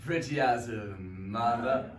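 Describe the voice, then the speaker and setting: A man playing a brooding, vengeful barber who is sly and menacing, moving from hushed threats to sudden shouts. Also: medium pitch, takes it slowly, close to an everyday voice. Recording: echoey room, distant mic, faint noise.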